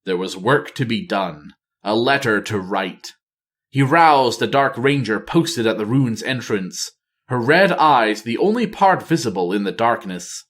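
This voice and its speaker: Slow, dramatic male fantasy storyteller. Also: mid-range voice, lively intonation, a bit bright. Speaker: storyteller reading a novel aloud. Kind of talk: dramatic audiobook-style fantasy narration.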